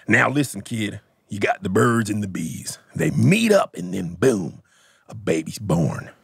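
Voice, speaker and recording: A man playing a gruff, grizzled military veteran with a gritty voice, grumpy and barking in a comedy sketch. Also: fairly low pitch, sing-song, takes it slowly, dry recording, clean studio sound, pitched below the performer's own voice, heavily acted. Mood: stern. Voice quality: gritty, gravelly. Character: gruff grizzled old war sergeant in a boy's body.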